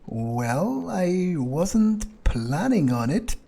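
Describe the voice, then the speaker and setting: A man's voice: sits high, big pitch swings, extremely slow, creaky, warm tone. A man improvising in-character video game commentary, thinking aloud in a musing, hesitant way and swearing freely. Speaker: let's player role-playing in character.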